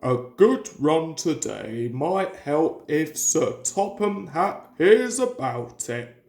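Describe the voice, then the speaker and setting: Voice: mid-range voice, sing-song, slow pace, vocal fry, a little mellow, voice pushed down, heavily acted. Someone acting a determined, effortful male steam engine. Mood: anxious resolve.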